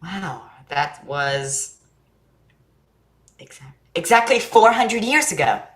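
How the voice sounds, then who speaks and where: A woman's voice: fairly low pitch, sing-song, slow. A woman playing a curious, earnest young boy in a staged drama reading, with an informal, questioning way of talking. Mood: curious, impressed.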